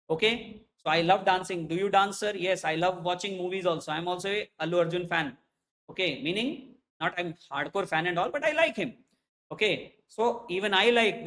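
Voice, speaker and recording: Chatty Indian man teaching, high voice. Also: a bit bright. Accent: Indian English. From India, Hyderabad. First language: Hindi. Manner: chatty, checks in with "okay?" constantly. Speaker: chartered accountancy teacher. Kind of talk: classroom self-introduction.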